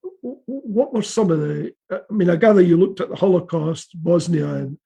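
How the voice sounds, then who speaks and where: Gravelly, husky-voiced male host. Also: high-pitched, moderate pace, warm tone. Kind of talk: casual interview talk.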